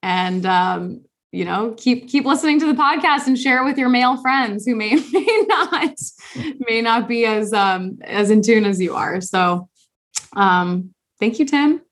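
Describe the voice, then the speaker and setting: A woman's voice: a little high, animated, unhurried. A young adult woman hosting a podcast, talking earnestly in casual conversation with lots of fillers and restarts. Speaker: podcast host.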